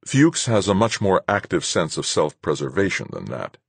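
A man's voice: deep voice, big pitch swings, moderate pace, vocal fry, husky, no room sound, voice pushed up, exaggerated voice. A man playing a suspicious, bitter man in an audiobook, blunt and accusing in the way he talks. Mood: skeptical. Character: sick, suspicious, bitter man, story's hero.

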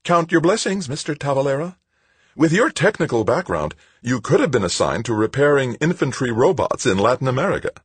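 A man's voice: a little high, wildly swooping pitch, normal speed, hardly any pauses, a little mellow, husky, dry recording, pitched above the performer's own voice, heavily acted. A man voicing a falsely cheerful, pious middle-aged woman bureaucrat in an audiobook, with a condescending, sing-song schoolteacher manner. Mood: sweetly smug. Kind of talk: audiobook character dialogue.

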